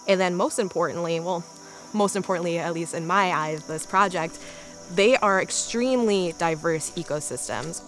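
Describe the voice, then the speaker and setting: An American woman from northern Illinois explains things as a researcher in a documentary interview. Her voice is on the low side, with soft music playing behind it. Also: moderate pace. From northern Illinois, United States. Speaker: conservation researcher. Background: music, insects.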